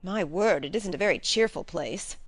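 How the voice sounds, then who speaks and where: A woman voicing a polite, frank young gentleman heir who is a little uneasy. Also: on the low side, lively intonation, moderate pace, no echo. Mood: uneasy, candid.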